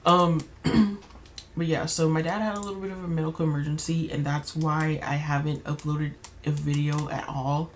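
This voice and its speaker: A young woman with a slightly raspy voice, chatting casually while playing a life-sim game, rambling with many fillers and restarts. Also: sits low, flat delivery, moderate pace, a little hiss or hum. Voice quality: slightly raspy, keeps clearing throat. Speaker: gaming YouTuber. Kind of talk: casual gameplay commentary.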